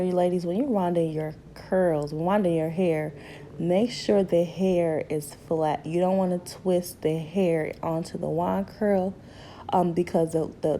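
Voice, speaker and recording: A young woman in her twenties, hoarse from a cold, chatting casually through a hair tutorial and calling her viewers ladies and honey. She is recorded in a small bedroom on the camera's own mic. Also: low-pitched, warm tone, slight background noise. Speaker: beauty vlogger. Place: small bedroom at home, indoors. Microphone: camera mic, seated close in front.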